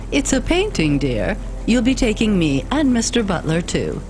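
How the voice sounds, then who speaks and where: A woman's voice: mid-range voice, wildly swooping pitch, a little slow, a little mellow, faint music behind. An actress playing a fussy, condescending upper-class lady in a video game, with an affected, pompous manner. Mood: haughty.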